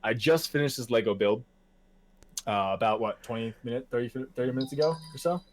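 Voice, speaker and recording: A man's voice: medium pitch, brisk. A man in his twenties co-hosting a podcast in casual chat, loose and profane, laughing often. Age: 20s. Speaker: podcast co-host.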